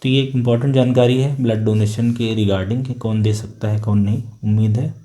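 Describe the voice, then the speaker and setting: Man, doctor, middle-aged, warm mellow voice, calm patient explainer, plain-spoken. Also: medium pitch, normal speed. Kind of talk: explanatory health talk.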